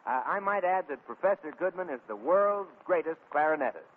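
A man's voice: on the high side, animated, fairly quick, phone-quality sound, slight background noise. A man announcing on a 1930s radio comedy show, on an old broadcast recording.

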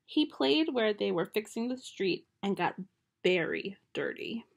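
A young woman reading a children's picture book aloud at home in a gentle storytime manner, recorded on a close webcam in a small bedroom. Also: medium pitch, animated, a little slow, vocal fry.

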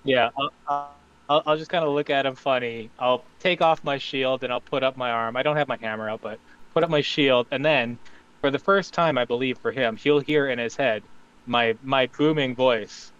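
Guy playing a tabletop rpg, smooth voice, kinda muffled mic. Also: medium pitch, moderate pace. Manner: relaxed, describes actions in first person. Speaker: player. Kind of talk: tabletop game play, describing his character's actions.

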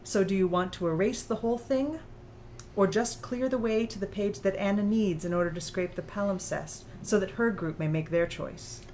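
A woman's voice: mid-range voice, normal speed, darkish tone, slight background noise, lightly acted. A woman playing a composed, insistent female author who mentors in audiobook dialogue with a precise, didactic, challenging manner.

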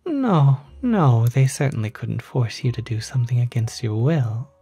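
A man playing a polite, quietly sinister demon concierge in an ASMR roleplay, speaking softly in half-whispers with gentle, hesitant persuasion. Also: mid-range voice, lively intonation, normal speed, no room sound, faint music behind. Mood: reassuring. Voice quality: soft, breathy, half-whispered.

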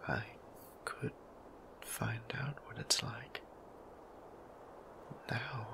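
A man's voice: mid-range voice, steady tone. Man playing a whispering, shy vampire priest. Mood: flustered, shy. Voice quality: breathy whisper, creaky. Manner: hesitant, halting, gently formal. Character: shy, devout male vampire priest, tender lover. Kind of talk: ASMR roleplay.

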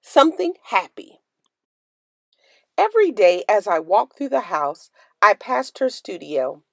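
Lady doing a kids book read aloud, takes her time, super animated up and down, dry sound. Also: medium pitch, a little slow, creaky, no echo. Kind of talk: scripted storybook narration.

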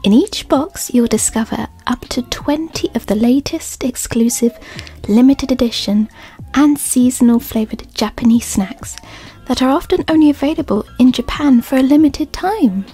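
A woman reading a sponsor segment in a soft, partly whispered ASMR style. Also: high voice, normal speed, slightly rough, faint music behind. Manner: gentle, partly whispered, with soft tasting sounds. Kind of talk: soft-spoken ASMR ad read.

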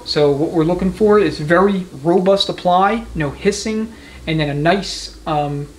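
A man's voice: on the high side, low-quality recording. A man giving an unscripted, hands-on tutorial as a mechanic, explaining methodically in a conversational way. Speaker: transmission mechanic giving a demonstration. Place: indoor transmission repair workshop. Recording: clear background noise of a workshop.

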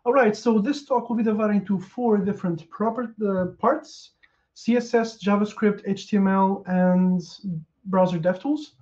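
A man's voice: sits high, moderate pace, dull audio. A man in his thirties from Portugal with a Portuguese accent, giving a casual technical conference talk over a headset, with a lot of ums and uhs. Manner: relaxed, lots of ums and uhs, restarts. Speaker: conference speaker. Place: small room at home.

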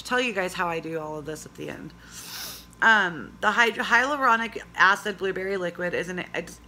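Woman, 40s, hoarse, chatty home vlog, phone mic, low-ish voice, bright edge, faint room hum. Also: poor audio. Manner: chatty, rambling, reads labels aloud. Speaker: vlogger. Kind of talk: casual product review vlog. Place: small indoor room at home. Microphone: phone camera at arm's length.

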